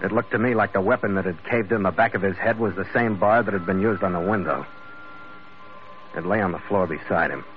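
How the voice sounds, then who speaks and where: An actor playing a tough, wry sailor and bodyguard in an old radio drama, terse and matter-of-fact. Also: male voice, low voice, fairly quick, faint music behind, natural voice. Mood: grim narration. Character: tough, wry Irish-American sailor hired as bodyguard, narrating. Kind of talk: 1940s-50s radio drama acting, hard-boiled narration. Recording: dull vintage radio recording.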